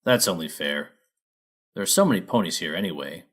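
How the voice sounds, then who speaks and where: A man's voice: deep voice, lively intonation, fairly quick, a bit bright, husky, pitched below the performer's own voice, heavily acted. A lazy male dragon from a children's storybook read by a man. He talks plainly and looks after his own interests. His delivery is matter-of-fact.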